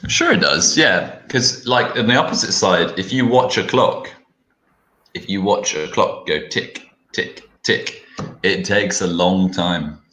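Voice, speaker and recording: A man from the south of England with a British accent, co-teaching an online English class and trading wry, deadpan jokes over a video call. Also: low voice, takes it slowly, reverberant. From Hastings, South England. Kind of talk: live online lesson banter.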